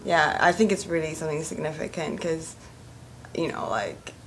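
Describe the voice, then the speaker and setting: Low-voiced young woman, chatty and rambling. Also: lively intonation, normal speed, faint music behind, low-quality recording. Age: 20s. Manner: rambling, many "like" and "you know" fillers. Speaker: actress. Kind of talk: casual interview answers.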